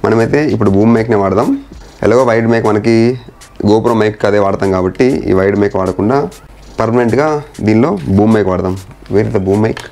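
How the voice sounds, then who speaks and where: A man's voice: lowish voice, fairly even intonation, fast, darkish tone. A man talking informally through a hands-on installation as a vlogger, mixing in English words and phrases. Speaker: motorcycle vlogger. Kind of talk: casual hands-on installation walkthrough.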